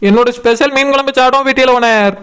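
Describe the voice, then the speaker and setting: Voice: sits high, fairly even intonation, rapid-fire, a bit bright, clear, resonant tone. A performer voicing an eager, pushy young food-stall seller in a cartoon comedy, coaxing and nagging in Kanyakumari Tamil. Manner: coaxing, insistent.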